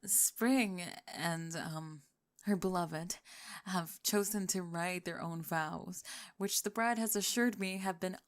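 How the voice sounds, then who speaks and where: A woman's voice: on the low side, slow. A woman playing an uneasy, polite wedding minister in a scripted ASMR roleplay, formal and hesitant in delivery. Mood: formal, faltering.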